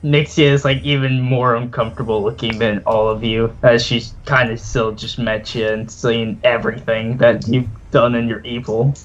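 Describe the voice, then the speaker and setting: A man's voice: medium pitch, level tone, moderate pace, few pauses. A man casually narrating a tabletop game as game master. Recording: muffled, noisy home recording.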